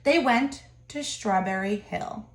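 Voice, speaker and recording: A woman reading a children's picture book aloud in an expressive way, pausing to comment to her listeners. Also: mid-range voice. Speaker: storybook reader. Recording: echoey room.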